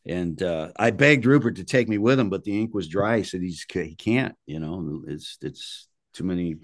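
Deep-voiced older man telling stories, dry sound. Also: moderate pace, no room sound. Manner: blunt, colloquial, salty. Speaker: musician being interviewed. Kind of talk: casual anecdotal storytelling.

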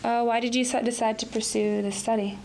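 A woman's voice: fairly high pitch, little pitch movement, fairly quick, darkish tone, slight background noise, rough recording. A woman interviewing a guest for a podcast, often starting her questions with hesitant fillers.

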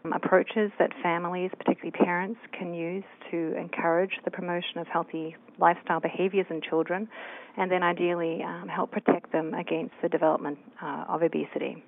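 A woman's voice: lowish voice, flat delivery, fairly quick, creaky. A woman from New Zealand, a researcher answering interview questions thoughtfully with many fillers. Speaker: researcher guest. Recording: tinny phone line.

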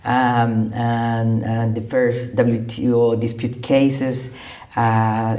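A man's voice: mid-range voice, dark tone. A middle-aged man from Costa Rica, a trade diplomat answering interview questions with many fillers and restarts. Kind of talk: conversational interview.

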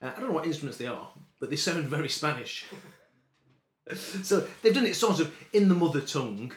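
A man co-hosting a music podcast, chatting casually in a halting, hesitant way. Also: a little high, animated, slight background noise. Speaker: podcast co-host presenting a music segment. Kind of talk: casual chat. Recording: roomy, echoey room sound.

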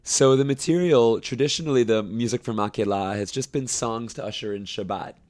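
A young man who directs a camp choir, explaining his work at length in a relaxed interview, with fillers and restarts. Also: medium pitch, fairly quick. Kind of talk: conversational interview.

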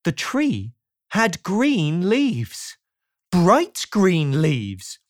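A man's voice: high voice, wildly swooping pitch, drawn-out words, dry recording, studio quality. A man narrating a children's storybook as an audiobook, in a playful way that invites the listener in. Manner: playful, asks the listener rhetorical questions.

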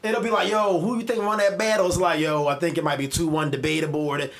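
Animated profane Philly guy, higher voice. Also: fast. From Philadelphia. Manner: animated storytelling, profane, laughs often. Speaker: podcast co-host. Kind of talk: casual chat.

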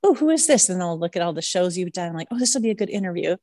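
A woman's voice: on the low side, expressive, very fast, dark tone, dry recording, studio quality. A woman hosting a podcast, chatting enthusiastically with lots of likes and fillers. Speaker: podcast host. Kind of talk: casual interview chat.

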